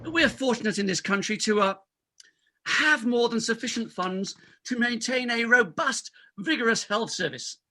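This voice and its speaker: A man's voice: very high-pitched, moderate pace, bright, forceful tone, exaggerated voice. A man playing a pompous, evasive middle-aged London doctor, blustering and stammering through a comic stage play reading. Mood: pompous, speechifying.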